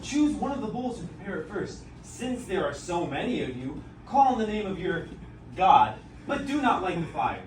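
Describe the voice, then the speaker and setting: A man playing a fiery prophet in a dramatized scripture recitation, loud, declamatory and emphatic. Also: sits high, very animated, normal speed. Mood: challenging. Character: fiery male prophet, taunting rivals, pleading with God. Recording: roomy live recording, distant mic, faint noise.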